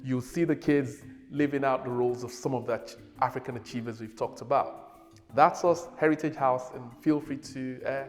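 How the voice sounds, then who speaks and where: A middle-aged man, a native Yoruba speaker, explaining his children's group in a relaxed, casual way on a lapel mic. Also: mid-range voice, normal speed, big-room sound, faint music behind. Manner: relaxed, gesturing, uses fillers. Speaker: organiser of a children's heritage group.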